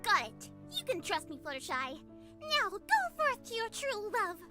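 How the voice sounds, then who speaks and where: Squeaky, swooping cheeky cartoon pony. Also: female voice, sing-song, moderate pace, pushed and bright, heavily acted. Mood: eager. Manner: bouncy, exaggerated, playful. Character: hyper, cheeky young female party pony. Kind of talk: cartoon character acting. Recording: music behind the voice.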